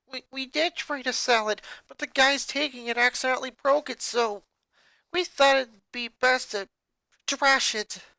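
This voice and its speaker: A woman's voice: sits high, fairly even intonation, unhurried, sharp tone, no echo, pitched above the performer's own voice, full character voice, poor audio. A woman voicing a nervous, apologetic mother who stumbles over her words. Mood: uneasy. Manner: hesitant, stammering. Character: uneasy mother, middle-aged, apologetic.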